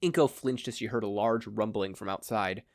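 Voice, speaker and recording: A man's voice: on the low side, normal speed, no echo, studio quality. A man reading a story aloud as a podfic narrator, in a scripted storytelling style.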